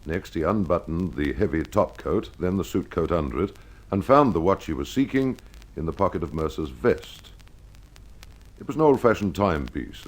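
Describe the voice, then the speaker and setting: Deep-voiced man narrating a radio mystery. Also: low-quality recording. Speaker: narrator and host. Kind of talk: scripted old-time radio mystery narration.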